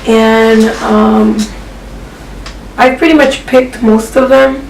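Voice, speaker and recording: A young woman from Peru hosting a casual question and answer vlog, talking in a relaxed way with many fillers. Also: on the high side, slow, echoey room, distant mic, slight background noise, low-quality recording. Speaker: vlogger co-host. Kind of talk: casual Q and A chat.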